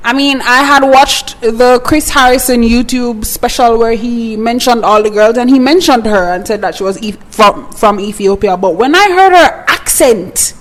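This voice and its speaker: Young Jamaican woman, podcast co-host, high bright voice, blunt and chatty. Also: moderate pace, hardly any pauses, low-quality recording. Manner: chatty, blunt, slips into patois. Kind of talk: casual chat.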